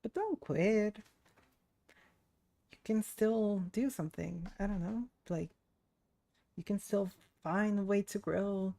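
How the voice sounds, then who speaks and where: A woman's voice: medium pitch, slow pace, vocal fry, pauses often, mellow. A woman livestreaming a game, talking to her audience in an informal, giggly, chatty way. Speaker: streamer. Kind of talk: casual livestream chat while gaming.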